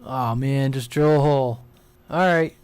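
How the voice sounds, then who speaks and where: A man's voice: medium pitch, unhurried, a little mellow, clear, resonant tone, no echo. A man chatting casually on the radio as a CB operator, in an easygoing, informal way. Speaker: CB radio operator, live stream host.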